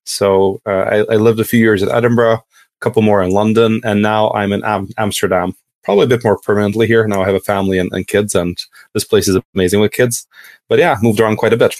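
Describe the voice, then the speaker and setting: Guy from hungary chatting as a podcast guest, lowish voice, really dry room, keeps restarting sentences. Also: steady tone, fast, darkish tone, dry recording. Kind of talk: casual conversational storytelling.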